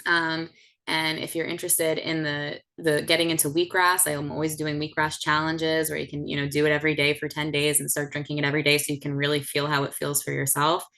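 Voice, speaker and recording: A woman's voice: sits low, monotone, a little fast, pushed and bright. A woman in her thirties hosting a class on a video call, chatty and full of fillers. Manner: chatty, with many fillers and restarts. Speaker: host of the class. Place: home setting indoors.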